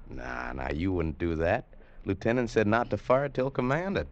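An actor playing an easygoing, guileless cavalry trooper with a rustic drawl in an old-time radio drama. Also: male voice, on the low side, big pitch swings, normal speed. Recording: dull 1950s radio recording.

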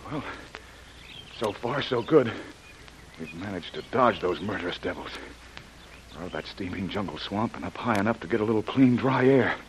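A man's voice: fairly low pitch, animated, moderate pace, natural voice, rough recording. An actor playing a rugged male jungle adventurer, urgent and protective, in old radio acting. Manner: urgent, protective, talking to himself. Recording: hissy old radio recording.

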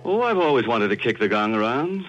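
A man's voice: medium pitch, sing-song, brisk. A man hosting a 1940s radio mystery show, theatrical, punning and chuckling. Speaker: host. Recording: dull old radio recording with music.

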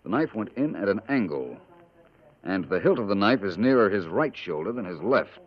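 An actor playing a shrewd, self-assured detective with an American accent, speaking in a measured, dry way in 1950s radio drama style. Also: male voice, lowish voice, big pitch swings. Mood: dry, analytical. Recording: muffled old radio drama recording.

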